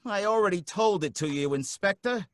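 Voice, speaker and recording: An actor playing a jittery working-class cab driver in an old-time radio drama, pleading and excitable with folksy slang. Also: male voice, high-pitched, moderate pace, slight background noise. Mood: defensive.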